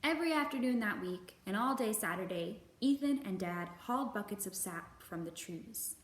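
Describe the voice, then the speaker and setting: A woman hosting a children's storytime, reading and speaking to young listeners with slow, deliberate, expressive phrasing. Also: medium pitch. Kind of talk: picture book read-aloud for young children. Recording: roomy echo, faint background noise.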